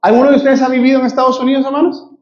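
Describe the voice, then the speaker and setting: A Guatemalan man preaches in church, with a strikingly high-pitched voice and a Guatemalan Spanish accent. He tells a personal story and keeps addressing the congregation as his brothers and sisters. The recording sounds dull and lo-fi. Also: flat delivery, very fast. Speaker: pastor. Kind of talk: sermon with storytelling.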